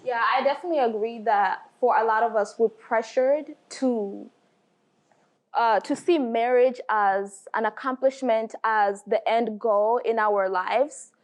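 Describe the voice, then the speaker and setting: Animated young woman with a higher voice. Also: unhurried. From Tanzania. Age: 20s. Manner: animated, searching for words with fillers. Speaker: panel guest. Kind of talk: casual discussion.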